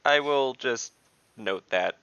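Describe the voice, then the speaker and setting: A man chatting casually as a player in a tabletop game, low-key, halting and matter-of-fact, over an online call. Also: expressive, bright, forceful tone, clean tone, no room sound, lo-fi sound.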